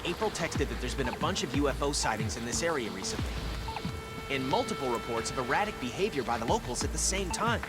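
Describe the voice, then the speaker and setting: An actor playing a brainy, earnest teenage mutant turtle inventor in a video game, explaining things in a nerdy technical way. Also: male voice, medium pitch, expressive, fast, over loud music, natural voice.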